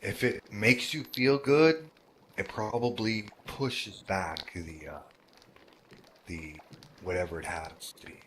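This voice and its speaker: A man with a deep voice who runs a roleplay world, talking casually to his group, halting, with many fillers and restarts. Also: fairly bright tone, a little hiss or hum. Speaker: roleplay game world organizer.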